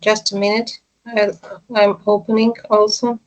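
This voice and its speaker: A woman's voice: mid-range voice, flat delivery, a little slow, low-quality recording. A woman taking part in an online webinar, giving brief, hesitant replies and questions over a video call. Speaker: webinar participant. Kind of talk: casual remarks on a call.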